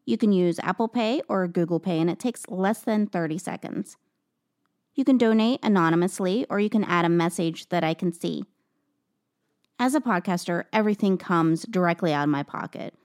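A woman's voice: on the low side, moderate pace, a little mellow, no echo, clean studio sound. A woman hosting a true crime podcast, talking to listeners and narrating. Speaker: podcast host.

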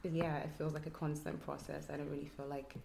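A hairstylist and artist from Nigeria, speaking quietly and reflectively in a casual interview, halting with ums. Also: female voice, deep voice, little pitch movement, gravelly. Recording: echoey room with faint noise.

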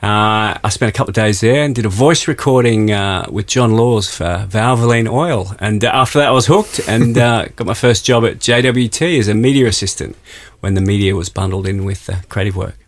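Man, interview guest, fairly low voice, measured delivery, filler words. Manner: measured, thoughtful, many "uh" fillers. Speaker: agency chief executive, guest. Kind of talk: casual interview chat.

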